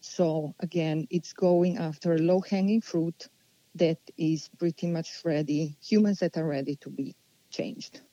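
A woman, answering interview questions unhurriedly and pausing as she thinks. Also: low voice, fairly even intonation, dark tone, no room sound, muffled recording. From Slovenia. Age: 40s. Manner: unhurried, thoughtful, with many pauses and restarts. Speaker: guest.

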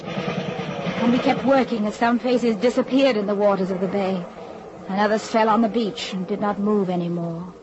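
An actress as a high-voiced, yearning wartime nurse bride. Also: female voice, background noise, lightly acted. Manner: earnest, emotional delivery. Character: devoted young army nurse bride, anxious and yearning. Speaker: army nurse. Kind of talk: vintage wartime radio drama acting and narration. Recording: muffled old radio drama with music behind.

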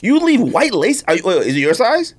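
A man's voice: high-pitched, huge pitch swings, brisk. A man co-hosting a podcast, bantering casually about sneakers in slangy, storytelling talk. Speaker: podcast co-host.

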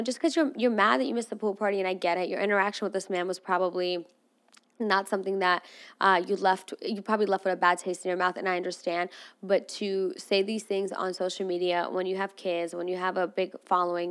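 A young adult woman from Armenia, raised in Russia, hosting a podcast with frank, animated, casually profane commentary. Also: medium pitch, a little fast. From Armenian, grew up in Russia. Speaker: podcast host.

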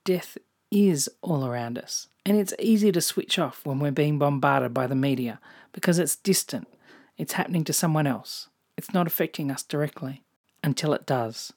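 A podcast host with an Australian accent, reading a reflective personal monologue in a measured way with touches of dry humor. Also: mid-range voice, expressive, darkish tone.

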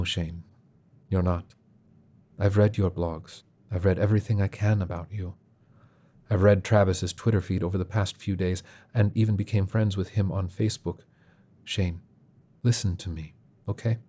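A man voicing a calm, gentle man with a soft, soothing, unhurried delivery. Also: low voice. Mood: earnest, gentle.

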